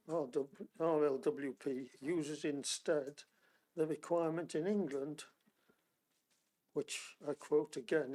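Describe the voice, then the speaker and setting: A man putting a formal question to a council meeting, deliberate and citing policy references. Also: on the high side, dark tone. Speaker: member of the public asking a question.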